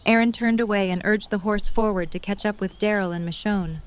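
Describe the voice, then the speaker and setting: A woman's voice: mid-range voice, fairly even intonation, a little fast, clear, resonant tone. A woman reading scripted third-person story narration for an audio drama.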